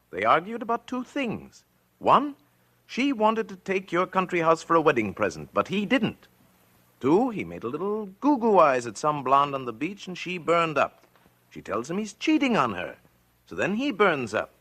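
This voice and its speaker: An actor playing a shrewd, theatrical criminal lawyer, making points emphatically and slangily in 1940s radio style. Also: male voice, fairly high pitch, huge pitch swings, normal speed, bright, forceful tone, exaggerated voice. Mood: brisk, sardonic. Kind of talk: 1940s radio drama acting.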